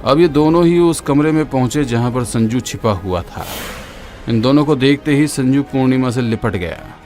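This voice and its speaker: A man tells a horror story in a steady, dramatic voice of middle pitch, sinking lower for the grim parts. Loud eerie music plays under his narration throughout. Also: normal speed.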